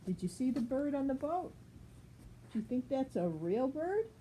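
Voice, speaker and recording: Older woman, mellow, lively storybook reader. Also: sits high, moderate pace, a little hiss or hum, rough recording. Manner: simple, child-directed explaining. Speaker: grandmother reading a picture book aloud to a child. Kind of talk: storybook read-aloud with casual asides.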